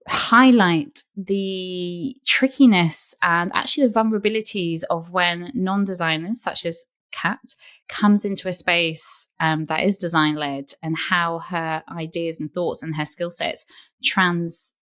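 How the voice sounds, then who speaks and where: A woman hosts her own podcast in a low, slightly croaky voice left over from an illness. She speaks with gushing warmth and stretches out her phrases. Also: slow. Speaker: podcast host. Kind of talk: scripted intro, then casual interview chat.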